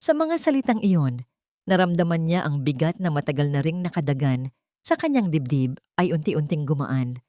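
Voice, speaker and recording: A woman narrating a scripted romance story in an expressive, dramatic manner. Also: sits low, moderate pace, no room sound, studio quality.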